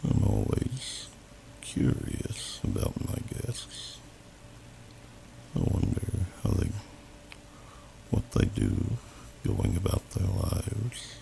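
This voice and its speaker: Deep, gravelly old dragon. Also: male voice, sing-song, warm tone, exaggerated voice. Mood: calm, musing. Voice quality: very deep, gravelly, breathy growl. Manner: slow, pensive, archaic formal phrasing. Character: gentle, wise old male dragon, welcoming host. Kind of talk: ASMR fantasy roleplay. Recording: noisy amateur home recording.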